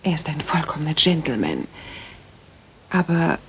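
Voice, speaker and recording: An actress playing a proper young Victorian lady in a radio play, polite and measured, with a husky, breathy voice. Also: low voice, sing-song. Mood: reserved, confiding. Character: proper, modest young Victorian lady client, firm when pestered. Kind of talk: dramatic German radio play acting.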